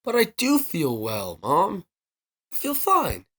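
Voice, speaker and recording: An American teenage boy in a 1950s radio comedy. His pitch jumps around a lot, and he sounds offhand, slangy and a bit defensive. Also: huge pitch swings, slow, a bit bright, exaggerated voice. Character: wisecracking, evasive American teenage son.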